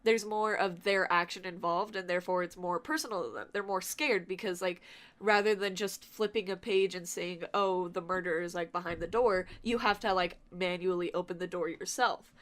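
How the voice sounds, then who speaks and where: A talkative woman speaking casually as a guest on a podcast, quick to laugh and explaining at length. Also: lowish voice, fairly even intonation, a bit bright. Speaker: podcast guest from a fan dubbing group.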